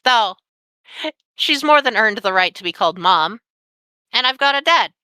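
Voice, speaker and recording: A nineteen-year-old woman telling a painful personal story on a podcast, candid and sweary with heavy pauses. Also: a little high, lively intonation, bright, forceful tone, clean tone, no echo, poor audio. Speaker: podcast guest.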